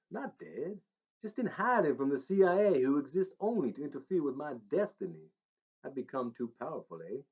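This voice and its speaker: A man's voice: a little high, darkish tone, breathy edge, pitched above the performer's own voice. A man doing a crooning would-be Elvis with a Southern twang. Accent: put-on Southern US twang. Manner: crooning, swaggering, conspiratorial. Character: paunchy middle-aged man claiming to be Elvis. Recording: slightly dull recording.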